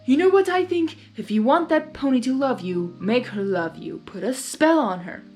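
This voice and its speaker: A woman's voice: sits high, expressive, normal speed, darkish tone, faint music behind, voice pushed up. A woman voicing a tiny male shoulder devil in a story, blunt, pushy and mischievous. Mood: scheming. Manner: sneering, pushy.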